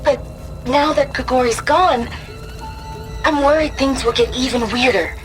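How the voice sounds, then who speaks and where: A woman's voice: high voice, unhurried. A woman voicing an anxious, sardonic teenage girl who confides in her audio diary in a video game, with music behind her. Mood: troubled, sardonic.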